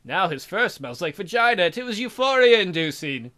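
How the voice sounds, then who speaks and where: A man's voice: high voice, very animated, moderate pace, pushed and bright, soft background music. A man hosting a let's play, reading a visual novel aloud and breaking off to add irreverent, amused comments.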